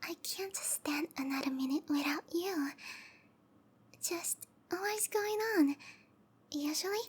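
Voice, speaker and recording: High, breathy, clingy yandere snake girl. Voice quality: soft, breathy, whispery. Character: sweet, clingy, obsessive young female snake-monster girlfriend. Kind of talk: roleplay ASMR acting.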